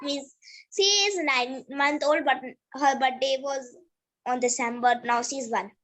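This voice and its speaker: A woman's voice: high-pitched, measured pace, fairly bright tone. A girl with an Indian English accent and Hindi as her first language, practicing English conversation hesitantly on a video call. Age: young. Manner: hesitant, searching for words. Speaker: student.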